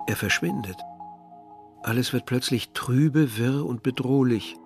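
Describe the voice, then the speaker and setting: An actor playing a young villager who tells his story in a measured, literary way, as narration in a radio drama. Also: medium pitch, natural voice. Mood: dreamlike, troubled. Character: young Algerian villager narrating a grim search for remains. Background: music.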